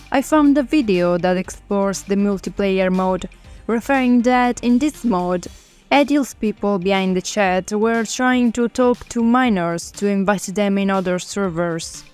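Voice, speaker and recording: A woman's voice: mid-range voice, a little mellow. A woman reading a scripted video narration in a plain voice, with a few fillers.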